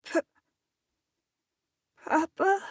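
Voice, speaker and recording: A woman's voice: extremely slow, no echo, studio quality. A woman voicing a frail, confused young boy in a video game, faltering and timid. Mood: confused, tearful. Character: frail, confused young boy, son seeking his parents.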